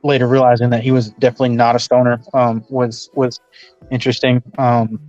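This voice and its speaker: A man's voice: medium pitch, little pitch movement, a little mellow, no echo. A man in his twenties talks in a loose, meandering way, filling his gaps with "um". His voice comes through a dull, dry, lo-fi mic with faint music underneath. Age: 20s. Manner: rambling, with frequent "um" pauses. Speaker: podcast co-host. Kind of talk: casual chat.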